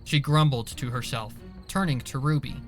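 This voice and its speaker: A man's voice: mid-range voice, normal speed. A man reading scripted dramatic narration for a fan fiction story. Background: soft music behind the voice.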